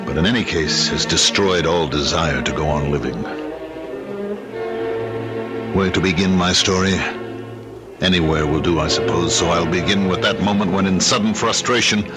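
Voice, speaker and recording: An actor playing a frustrated, luckless young husband and store clerk in an old-time radio drama, theatrical and emphatic. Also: male voice, sits low, brisk, loud music behind the voice, lightly acted. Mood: despairing, grave. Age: young adult. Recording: vintage radio drama recording.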